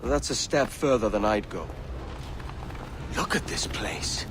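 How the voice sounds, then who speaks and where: An actor playing a gruff, hot-tempered, blunt pirate captain in a video game, with salty sailor talk. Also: male voice, lowish voice, animated, slow. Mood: wary, skeptical. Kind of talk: dramatic video game acting. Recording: noisy outdoor ambience behind the voice.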